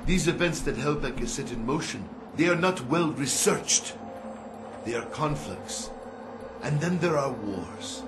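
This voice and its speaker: A middle-aged Saudi arms dealer, talks in a fairly high voice. He is formal, grave and calculating, a video game power broker with clear music behind him. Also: lively intonation. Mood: grave, knowing. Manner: formal, measured phrasing.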